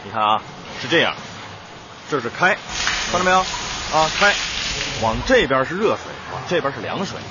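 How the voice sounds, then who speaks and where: An actor playing a caring but pushy young male teacher in a radio drama, brisk, blunt and urging. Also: on the high side, very animated, muffled recording, very noisy. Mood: insistent.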